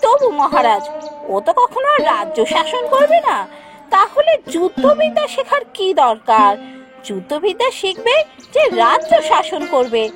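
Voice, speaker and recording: A woman's voice: very high voice, wildly swooping pitch, moderate pace, loud music behind the voice, heavily acted. A woman voicing a scheming, jealous younger queen in a fairytale cartoon, speaking in an insinuating, emphatic way. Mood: insinuating, coaxing. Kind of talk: Bengali fairytale cartoon voice acting.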